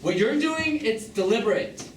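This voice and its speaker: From a distance in an echoing theatre, an actor plays a jealous, defensive man. His speech is terse and blunt, with volatile feeling and widely swinging pitch. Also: animated, normal speed. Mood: angry accusation. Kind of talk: naturalistic stage drama dialogue. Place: theatre stage, recorded from the audience distance. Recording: echoey, distant, noisy live theatre recording.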